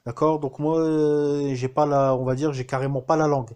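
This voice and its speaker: Un homme français qui témoigne de manière spontanée, en hésitant souvent et en reprenant ses phrases. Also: male voice, a little high, level tone, moderate pace, darkish tone. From France. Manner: hesitant, many fillers and restarts. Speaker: student giving a testimonial. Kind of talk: casual spoken testimonial.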